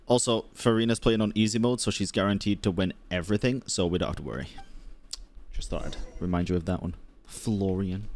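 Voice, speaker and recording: A man's voice: low-pitched, brisk, a little mellow. A man doing casual video game commentary with sweary, teasing asides as he streams. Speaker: video game streamer reacting to a story quest.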